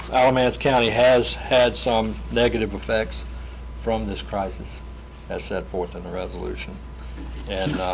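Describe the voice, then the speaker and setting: A man serving as a county commissioner, giving measured, matter-of-fact procedural remarks in a meeting room. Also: fairly low pitch, gravelly. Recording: noisy meeting-room recording.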